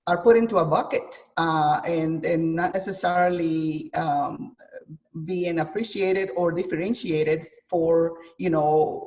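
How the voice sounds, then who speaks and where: A woman from Guatemala, a chef and author, speaking thoughtfully in an interview with frequent pauses and fillers. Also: lowish voice, far from the mic. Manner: hesitant, many fillers, thoughtful. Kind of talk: interview conversation.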